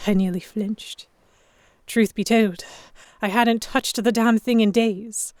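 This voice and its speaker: A woman's voice: on the high side, fairly even intonation, a little mellow, dry recording. Woman as guarded young noblewoman narrator, dry sound. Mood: uneasy. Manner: measured, introspective, literary. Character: anxious, guarded young noblewoman captive narrating her story. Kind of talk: first-person dramatic narration, historical romance audio drama.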